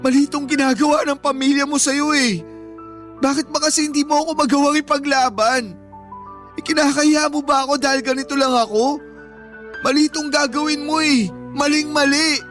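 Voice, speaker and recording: A man's voice: extremely high pitch, steady tone, normal speed, fairly bright tone, faint music behind. A man acting a wounded, devoted young boyfriend in a Filipino radio drama, with a pained, earnest delivery. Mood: hurt, tearful. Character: wounded, devoted young working-class boyfriend, pleading and proud.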